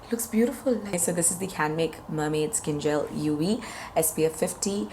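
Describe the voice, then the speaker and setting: A woman in her thirties with a hoarse voice, chatting enthusiastically as she reviews makeup products on her channel. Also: low-pitched, a little fast, mellow, a little hiss or hum. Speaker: beauty vlogger.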